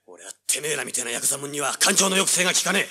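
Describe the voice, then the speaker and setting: An actor playing a cocky, ruthless young treasure hunter in a radio drama, brash and hard-boiled. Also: male voice, a little high, expressive, rapid-fire, bright, forceful tone, husky, exaggerated voice. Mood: menacing. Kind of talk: dramatic radio drama acting with first-person narration.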